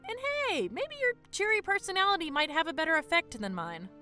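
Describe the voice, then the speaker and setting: A woman's voice: very high voice, huge pitch swings, brisk, sharp tone, soft background music, exaggerated voice. A woman playing a blunt, impatient female dragon warrior in an audio drama. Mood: brisk, encouraging.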